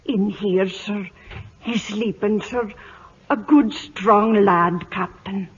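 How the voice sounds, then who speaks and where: An actress playing a mournful, deferential older housekeeper in an old-time radio drama, her voice husky and breathy. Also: medium pitch, fairly even intonation. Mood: tender, deferential. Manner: slow, hushed, deferential. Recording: dull, muffled vintage radio recording.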